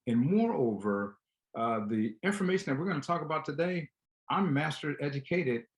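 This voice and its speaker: A man's voice: a little high, lively intonation, normal speed. A man hosting a talk show, speaking conversationally and directly to his listeners and guest. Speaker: show host. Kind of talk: conversational show introduction and interview.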